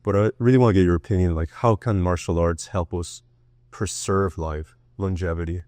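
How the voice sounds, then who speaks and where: A man's voice: low voice, fairly even intonation, normal speed, a little mellow, no room sound. A male podcast host asking a guest long, curious, open questions. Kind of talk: interview questions.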